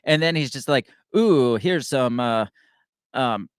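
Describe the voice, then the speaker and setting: A man's voice: medium pitch, lively intonation, slow pace, clean tone, dry recording, clean studio sound. A young adult man hosting a political commentary show, talking casually and sarcastically, rambling with frequent restarts as he reads news stories aloud.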